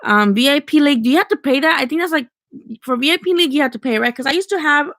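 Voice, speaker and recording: A woman's voice: high-pitched, rapid-fire, a bit bright, dry recording. Chatty young Mexican woman, dry sound. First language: Spanish. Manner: chatty, opinionated, swears casually, many fillers. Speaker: boxing streamer answering chat. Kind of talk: casual livestream chat. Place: at home.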